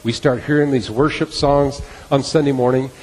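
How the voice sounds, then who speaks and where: A middle-aged man delivers a sermon from the pulpit, earnest and fond of repeating his key lines. His voice is a little husky. The sound carries the long reverb of a church sanctuary. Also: mid-range voice, steady tone, moderate pace, darkish tone. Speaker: pastor.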